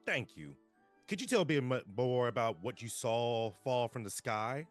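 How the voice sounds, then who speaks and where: A man playing an earnest, brisk female TV field reporter in a live table read. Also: mid-range voice, expressive, soft background music, close to an everyday voice. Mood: inquisitive, bright.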